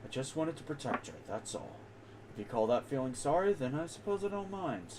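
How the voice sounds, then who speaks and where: A man's voice: medium pitch, sing-song, brisk, background noise, lightly acted. A man voicing a reserved, earnest, loyal seaman while reading video game dialogue aloud in character. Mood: subdued, defensive.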